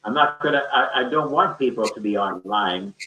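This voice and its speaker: A man's voice: medium pitch, expressive, normal speed, sharp tone. A man speaking off the cuff in a rambling, colloquial and emphatic way, as a teacher in an online discussion. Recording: dull, echoey, distant-microphone call audio.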